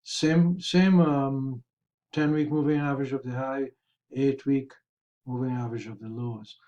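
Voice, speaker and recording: A man hosting a market review, explaining charts in a measured, careful way with a slightly raspy, hoarse voice. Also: medium pitch, fairly even intonation, warm tone, lo-fi sound. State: hoarse, throat-clearing. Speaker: host of a stock market review.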